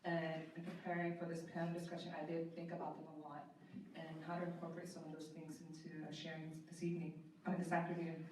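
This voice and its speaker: A woman's voice: low voice, completely flat delivery, quick pace, darkish tone, a little hiss or hum. A religious sister in her thirties introducing herself on a panel in a casual way, with many fillers. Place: large echoey conference hall. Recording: echoey hall, distant mic.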